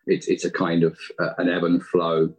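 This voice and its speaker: A man's voice: sits low, monotone, moderate pace, breathy edge. A British man chatting casually as a guest in an interview. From United Kingdom. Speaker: interview guest, audio drama writer.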